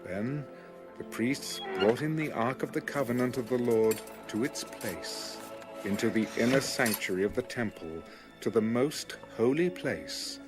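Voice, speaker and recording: A man reading scripture as a scripted audio Bible narration, in a measured, formal way. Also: medium pitch, expressive. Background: music under the reading.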